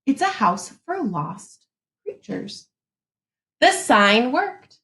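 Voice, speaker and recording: A woman teacher reading a picture book aloud to young children in an expressive, deliberate way, pausing to muse and think out loud. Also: a little high, echoey room.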